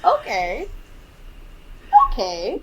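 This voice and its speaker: A thirty-year-old woman co-hosting a podcast, recapping a film in casual chat, animated and exasperated as she acts out quotes. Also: medium pitch, drawn-out words, background noise. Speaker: podcast co-host.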